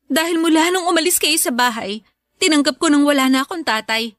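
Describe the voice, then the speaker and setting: High-voiced, wounded Filipina daughter. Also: female voice, fairly quick, fairly bright tone, dry recording, clean studio sound. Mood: hurt, accusing. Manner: emotional, accusing. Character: hurt, pleading, bitter grown daughter confronting her father. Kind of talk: Filipino radio drama acting.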